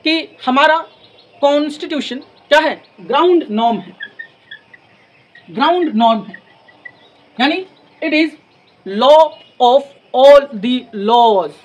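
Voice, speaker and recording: A young man giving an explanatory law lecture, speaking deliberately phrase by phrase and repeating for emphasis. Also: extremely high pitch, lively intonation, low-quality recording. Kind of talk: explanatory lecture with English legal terms mixed in. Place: in front of a classroom blackboard. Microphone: lapel mic.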